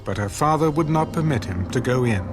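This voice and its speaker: A man narrating a dramatised scripture reading in a solemn, measured and dramatic way. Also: mid-range voice, lively intonation. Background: music.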